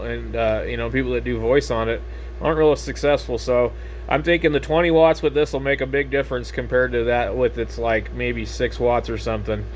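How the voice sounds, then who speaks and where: Rambling American man chatting over steady noise. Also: mid-range voice. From United States. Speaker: amateur radio operator. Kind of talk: casual ham radio chat. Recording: steady background radio noise.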